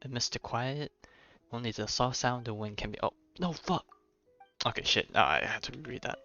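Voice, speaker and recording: A man's voice: mid-range voice, brisk, sharp tone, dull audio, faint music behind. A man livestreaming a game with casual, informal commentary, thinking aloud and reading on-screen text.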